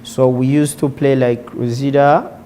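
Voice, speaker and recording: A man's voice: mid-range voice, level tone, unhurried, mellow, slight background noise. A man in his twenties with a Ugandan accent, telling his personal story conversationally as an interview guest. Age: 20s. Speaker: interview guest, former footballer.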